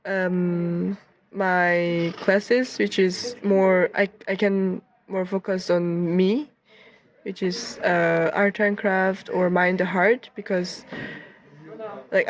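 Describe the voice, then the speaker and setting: This young woman speaks slowly and haltingly, stopping often to find her words in a learned language. Her pitch sits in the middle for a woman, and she answers like a student reflecting in an interview. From South Korea. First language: Korean. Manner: halting, searching for words with many fillers.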